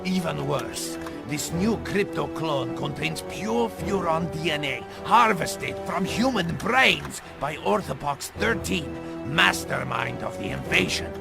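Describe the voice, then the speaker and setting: An actor playing a scornful Soviet spymaster in a video game, speaking gravely and deliberately. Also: male voice, fairly high pitch, expressive, full character voice. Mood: grave, menacing. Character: menacing, scornful Soviet spymaster briefing his comrades. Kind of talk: video game cutscene acting. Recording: dramatic music under the voice. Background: loud music.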